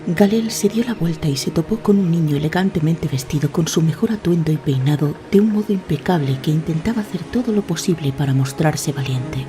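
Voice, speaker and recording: A woman's voice: sits low, lively intonation, a little fast, few pauses. A woman giving scripted, dramatic narration of a fantasy tale. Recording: voice over a prominent music bed.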